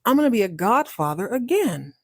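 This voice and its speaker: A woman voicing a sly male cat in a casually told story, slangy, glib and excitable. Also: mid-range voice, fairly quick, husky, dry recording, studio quality. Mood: excited, coaxing. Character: sly male cat, breezy, defensive fibber. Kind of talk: casual storybook character dialogue.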